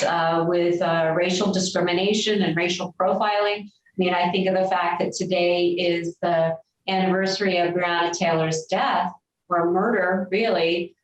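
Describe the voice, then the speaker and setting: A woman, a religious sister, sharing earnest and thoughtful reflections in a group talk. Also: on the low side. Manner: earnest, thoughtful, warmly agreeing. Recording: very echoey room, distant mic, faint noise.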